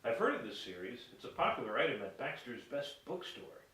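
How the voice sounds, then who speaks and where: A man's voice: medium pitch, very animated, brisk, bright, forceful tone. A man playing a courteous, helpful train conductor in a radio play, with a theatrical announcing manner. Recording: roomy, distant mic, hiss.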